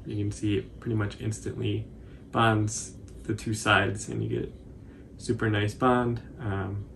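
A man in his twenties giving a casual, informal tutorial commentary in a halting, hesitant way. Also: on the low side, little pitch movement, vocal fry, fairly bright tone, slight background noise. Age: 20s. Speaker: cosplay tutorial presenter.

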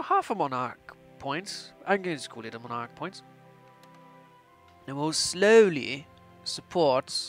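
A young man in his twenties plays game host with a put-on Austrian accent and a rather high-pitched voice. His delivery is theatrical and mock-imperious, like a ruler giving orders. Music plays behind him. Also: a bit bright. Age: 20s. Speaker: gaming channel host. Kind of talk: playful strategy game let's play commentary.

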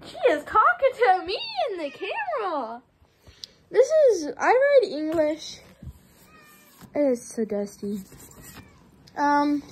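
Young woman vlogger, very high lively voice, unhurried, giggly, coos at cats; handheld phone in a barn, faint noise. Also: low-quality recording. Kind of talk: casual barn tour vlog. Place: indoor barn room with cats. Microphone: phone held in hand.

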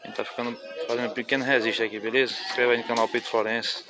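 A man with a Northeastern Brazilian accent, filming a livestock fair on his phone and chatting loudly with sellers, calling each one boss. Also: medium pitch, little pitch movement, very fast. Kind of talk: casual walking commentary. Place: outdoor animal fair, small town. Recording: outdoor crowd noise. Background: crowd and animals.

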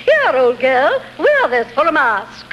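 An actress playing a pretentious, dithering society matron with an affected manner in 1940s radio comedy. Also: female voice, high voice, big pitch swings, slow, heavily acted. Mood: fluttery. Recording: muffled, faintly noisy old radio recording.

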